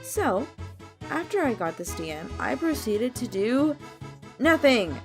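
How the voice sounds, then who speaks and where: A woman's voice: fairly high pitch, big pitch swings, unhurried. A woman YouTuber telling a personal story in a playful, self-deprecating way. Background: clear guitar music.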